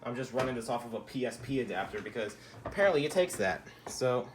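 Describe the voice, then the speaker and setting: A man's voice: medium pitch, animated, fast, noisy background. Laid-back young man rambling casually. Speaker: radio collector showing his collection. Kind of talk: casual rambling product demo.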